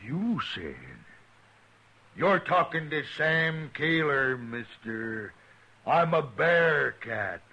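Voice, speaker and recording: Big loudmouth bully brawler, slow husky drawl, bright tone, old radio. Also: male voice, fairly high pitch, lively intonation, full character voice. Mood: taunting. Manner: swaggering, taunting frontier drawl. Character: huge red-haired brawling bully, boastful. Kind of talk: 1950s radio drama acting.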